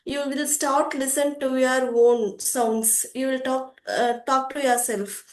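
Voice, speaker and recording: High-voiced woman in an echoey room. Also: takes it slowly. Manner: calm, earnest, laughs often. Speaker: guest. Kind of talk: casual conversation.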